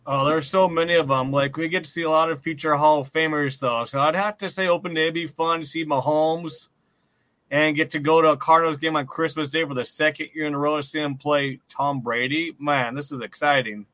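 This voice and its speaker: A man's voice: on the high side, steady tone, fairly quick, fairly bright tone, no room sound. A man chatting about sports as a podcast guest, sounding like an eager fan. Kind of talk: casual sports chat.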